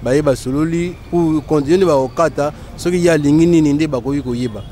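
A Congolese man in his fifties answers an interviewer outdoors. His voice is somewhat high, with faint street noise around it. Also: a little fast, warm tone. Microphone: small handheld mic.

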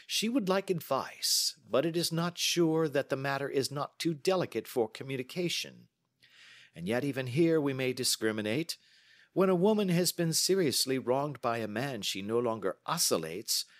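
A man's voice: a little high, no room sound, clean studio sound, pitched above the performer's own voice. A man voicing a self-assured, analytical male detective in an audiobook. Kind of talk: audiobook character dialogue.

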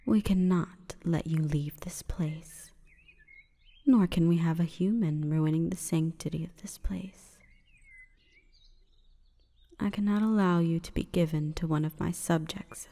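A woman voicing an imperious, calm forest goddess in a breathy, whispering ASMR roleplay, with stately, measured delivery. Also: low voice, full character voice. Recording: long reverberant echo.

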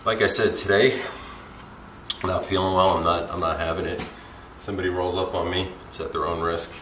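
A middle-aged man with a gravelly voice, talking casually and a little uneasily to viewers in a rambling handheld vlog. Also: low-pitched, steady tone, moderate pace. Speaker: urban exploration vlogger. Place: abandoned derelict house and surrounding woods. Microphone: handheld camera at arm's length. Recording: faint outdoor noise with chirping insects.

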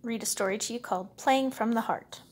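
A woman reading a picture book aloud as a storyteller, with expressive, measured, dramatic phrasing. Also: on the high side. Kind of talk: expressive read-aloud narration.

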